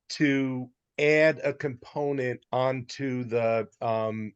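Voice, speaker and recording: A man speaking as a board chair in an online meeting, putting a suggestion in a halting, deliberate way. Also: mid-range voice, dry recording. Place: video call. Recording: dull, muffled video-call audio.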